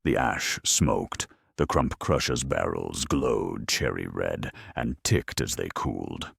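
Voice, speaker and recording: A man narrating a grimdark war story in a grave, measured, dramatic way with wry deadpan humour. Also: a bass voice, gravelly, slightly rough, dry recording, studio quality.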